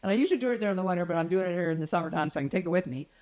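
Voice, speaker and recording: Older lady rambling to her phone in the kitchen, lowish voice, lots of ums. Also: animated, racing, a little mellow. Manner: chatty, friendly, rambling with fillers and laughs. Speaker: vlogger. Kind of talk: casual chat to camera. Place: home kitchen, indoors. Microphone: phone camera at arm's length.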